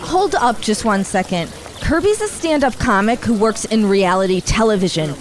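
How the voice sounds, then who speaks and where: An actress playing a self-absorbed New Age diva in a radio drama, theatrical, whiny and snide. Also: female voice, mid-range voice, lively intonation, moderate pace, lightly acted.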